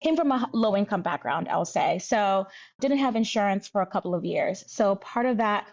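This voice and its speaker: A woman's voice: mid-range voice, animated, fairly quick, vocal fry, a little mellow, lo-fi sound. A woman in her thirties, originally from Nigeria, talking as a guest physician in an interview, thoughtful and candid. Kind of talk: conversational interview.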